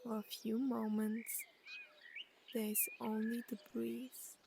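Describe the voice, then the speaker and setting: A woman narrating a guided meditation and story in an unhurried, soothing way, with long pauses between phrases. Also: fairly high pitch, flat delivery, creaky, noisy background.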